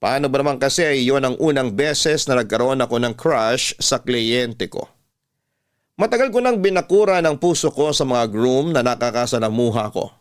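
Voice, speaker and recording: A man's voice: mid-range voice, lively intonation, normal speed. Casting: Filipino male storyteller, mid-range voice, classic radio narration of a personal story.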